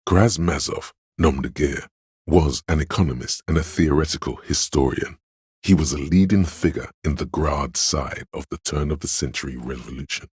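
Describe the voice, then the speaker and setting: A man voicing a learned inner voice in a video game, reciting obscure facts in a measured, lecturing, gravelly way. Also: a bass voice, dry recording, clean studio sound, heavily acted. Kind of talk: video game scripted narration.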